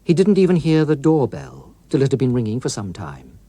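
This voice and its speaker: A man's voice: medium pitch, sing-song, fairly quick, dark tone, no room sound. A man with a British accent narrating a scripted story as a dramatic audiobook reader.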